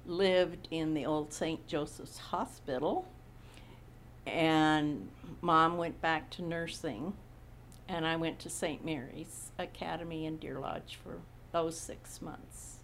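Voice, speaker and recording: A woman in her eighties from Montana, recalling her childhood slowly in an oral history interview. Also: deep voice, fairly even intonation, a little hiss or hum. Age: 80s. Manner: slow, unhurried recollection with frequent pauses. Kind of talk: reminiscing in a recorded interview.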